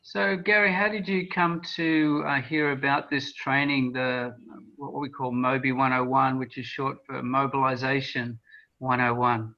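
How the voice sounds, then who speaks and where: A man's voice: mid-range voice, fairly even intonation, measured pace. Polite interviewer man, dull recording. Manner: polite, affirming, with hesitant "um" openings. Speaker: interviewer, training course host. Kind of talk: friendly interview questions. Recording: slightly dull lo-fi audio.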